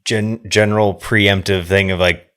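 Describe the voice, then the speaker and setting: A man co-hosting a podcast in casual discussion, wordy and reflective, often halting as he works out his thought. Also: on the low side, fairly even intonation, a bit bright, clean tone. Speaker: podcast co-host.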